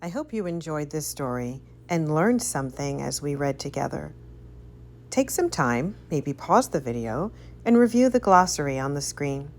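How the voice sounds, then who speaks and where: A woman reading a rhyming picture book aloud for children in an expressive, sing-song storytelling way. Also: low voice, moderate pace. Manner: animated, sing-song, careful storytelling delivery.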